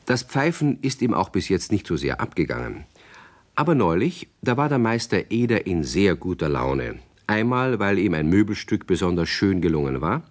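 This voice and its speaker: Children's radio play narrator: low, lively intonation, friendly, chatty, measured storytelling. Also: male voice.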